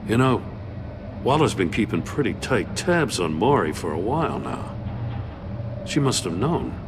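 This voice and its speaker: An actor playing a world-weary, wry police investigator in a video game, plain-spoken and colloquial. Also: male voice, fairly low pitch, big pitch swings, unhurried, background noise. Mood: wry, concerned.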